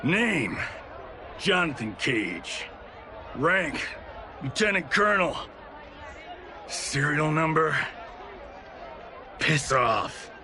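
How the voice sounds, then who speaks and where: A cocky soldier hero for a video game, brash and teasing in an old-time tough-guy style. The pitch sits fairly high and jumps around a lot, with noise clearly behind. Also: big pitch swings, drawn-out words, pauses often, bright, forceful tone, a faint crowd, exaggerated voice. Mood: defiant. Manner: brash, slangy, wisecracking. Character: cocky, flirtatious wise-cracking male military officer.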